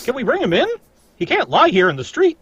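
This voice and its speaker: Folksy, deferential London bystander man female performer: husky radio-drama part, dry dull sound. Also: on the high side, huge pitch swings, fairly quick, dry recording, heavily acted. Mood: concerned. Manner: folksy, respectful. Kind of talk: old-time radio drama script read live. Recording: muffled, dry lo-fi audio.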